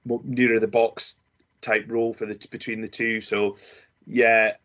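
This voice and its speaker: Male panelist, lower register, hesitant casual delivery, muffled lo-fi recording. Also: little pitch movement, a little slow, a bit bright. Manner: hesitant, many ums, laughs easily. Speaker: draft analyst, co-host. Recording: dull lo-fi audio.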